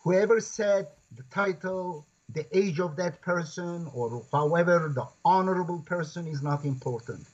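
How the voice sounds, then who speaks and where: A man's voice: sits high, normal speed, hardly any pauses, a little mellow. Man, earnest lecturer. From Turkey. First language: Kurdish. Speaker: guest scholar. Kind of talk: interview over video call. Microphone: video call. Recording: lo-fi video call audio.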